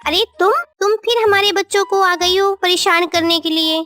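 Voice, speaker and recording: Casting: woman as storybook mother crow, naive and stubborn, childlike very high pitch, emphatic and pleading, music underneath. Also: moderate pace, sharp tone. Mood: alarmed, pleading. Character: naive, stubborn young mother crow, female, devoted to her chicks.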